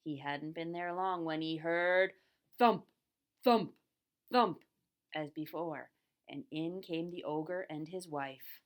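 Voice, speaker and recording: A woman's voice: low-pitched, takes it slowly, pauses often. A woman narrating a scripted children's story as the storyteller of a puppet show.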